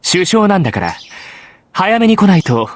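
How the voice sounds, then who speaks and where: A man's voice: sits high, sing-song, quick pace. An actor playing a smug, pushy teenage boy in a visual novel, speaking with falsely friendly charm. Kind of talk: Japanese visual novel voice acting.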